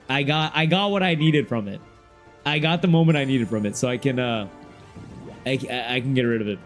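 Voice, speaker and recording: A young man of middling pitch chats loosely with his stream audience, full of slang, his sentences wandering and often fading off softer. He speaks into a desk mic at his streaming setup while faint game music plays behind him. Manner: rambling and loose, heavy slang, trails off quieter. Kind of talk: casual livestream chat, reading chat aloud.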